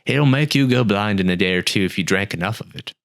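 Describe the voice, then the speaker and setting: A man voicing a calm old male talking desert snake that explains things patiently, with a matter-of-fact, wry manner, in a storybook reading. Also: on the low side, fast, vocal fry, clean tone, no room sound, clean studio sound.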